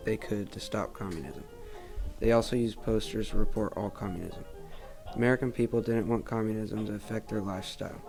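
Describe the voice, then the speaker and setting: A man's voice: on the low side, creaky. A man reading a scripted report for a 1920s-style radio program.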